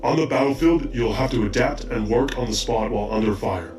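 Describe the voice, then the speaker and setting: A man's voice: mid-range voice, brisk, a little mellow, close to an everyday voice. A man voicing a haughty, commanding robot chief medic who drills recruits in an amateur audio drama. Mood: brisk, instructive. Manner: stern, commanding, exacting. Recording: roomy, slightly distant mic, faint music.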